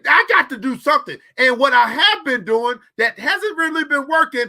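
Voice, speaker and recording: A man's voice: very high-pitched, animated, moderate pace, pushed and bright. A man in his forties hosting a show, giving a preachy motivational talk about money and repeating phrases for emphasis. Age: 40s. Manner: preachy, repeats phrases, talks straight to the audience. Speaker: podcast host.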